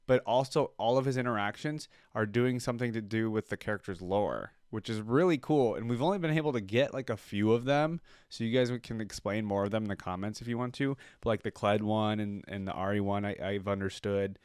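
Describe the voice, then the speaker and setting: A man's voice: lowish voice, brisk, few pauses, darkish tone, clear, resonant tone, no room sound, studio quality. A man hosting a reaction video and commenting in a casual way.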